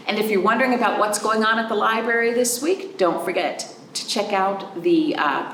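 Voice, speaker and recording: Lady librarian talking to little kids, super lively pitch, echoey room w some noise, asks lots of questions. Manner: simple, chatty explanations with questions to the listener. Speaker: children's librarian hosting virtual storytime. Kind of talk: storytime talk to young children. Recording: roomy echo, clear background noise.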